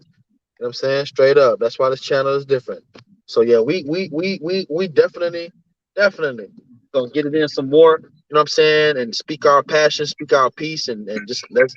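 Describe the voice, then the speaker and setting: A laid-back man hosting a livestream chat, his voice a bit higher than most men's. The sound is muffled and dry, with no room around it. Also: brisk, dry recording. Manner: laid-back, reads chat names aloud, repeats "you know what I'm saying". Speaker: livestream host.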